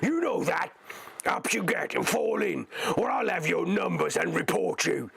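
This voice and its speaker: A man voicing a fierce male orc slave driver who snarls and yells orders, in a storybook reading. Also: high voice, big pitch swings, unhurried, vocal fry, slightly rough, dry recording, voice pushed up, full character voice. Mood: snarling.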